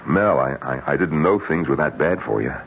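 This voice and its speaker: An actor playing a kindly, hesitant friend in an old-time radio mystery, with a halting, stammering delivery. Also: male voice, very deep voice, gravelly. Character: kindly, hesitant male friend, shaken but generous. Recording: muffled, noisy old radio broadcast.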